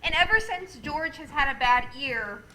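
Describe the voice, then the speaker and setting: An actress playing a calm, authoritative female superintendent of angels in an old-time radio play. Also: high voice, full character voice, rough recording. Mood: brisk. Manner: brisk, authoritative, kindly.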